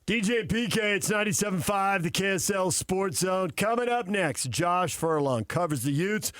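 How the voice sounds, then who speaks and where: A man's voice: high voice, lively intonation, moderate pace, a bit bright, no echo. A man hosting a sports talk radio show, introducing segments and putting questions to guests.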